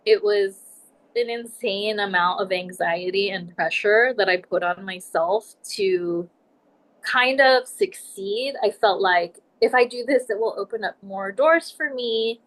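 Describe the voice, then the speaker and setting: A woman in her forties from Nebraska, a podcast guest telling her life story in a reflective, measured way. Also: mid-range voice, fairly bright tone, rough recording. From United States, Nebraska. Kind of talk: casual interview talk.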